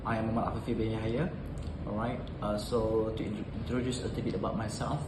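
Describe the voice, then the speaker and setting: A man's voice: lowish voice, flat delivery, fast. A man in his thirties from Malaysia, whose first language is Malay, speaking English in an interview and often saying "all right" and "okay". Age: 30s. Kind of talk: self-introduction and interview answers. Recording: echoey room with background noise.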